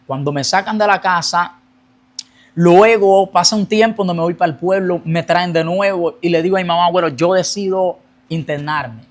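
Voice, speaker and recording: A man's voice: sits high, moderate pace. A young man telling his personal faith testimony as a guest, earnest, speeding up as he recounts his past. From Colombia.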